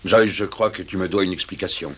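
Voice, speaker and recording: A man's voice: low-pitched, steady tone, fairly quick, slightly rough. A man voicing a stern, authoritative father in a French-dubbed cartoon. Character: stern, well-to-do father and householder. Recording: music behind the voice.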